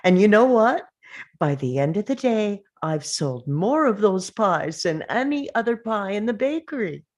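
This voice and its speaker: A woman's voice: mid-range voice, big pitch swings, a little slow, darkish tone. A woman reading the part of an earnest, bubbly waitress in her twenties at a scripted drama table read. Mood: earnest, sweet.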